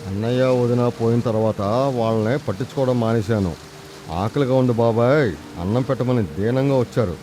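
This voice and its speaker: A man's voice: medium pitch, normal speed, warm tone, slight background noise, natural voice. A man playing a humble older uncle, ashamed and apologetic, speaking sorrowfully in a moral story. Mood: remorseful. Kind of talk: moral story character dialogue.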